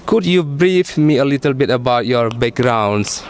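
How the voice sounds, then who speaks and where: A man's voice: medium pitch, expressive, a little hiss or hum. Man interviewing with stiff formal phrasing. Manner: formal, halting non-native phrasing. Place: conference venue.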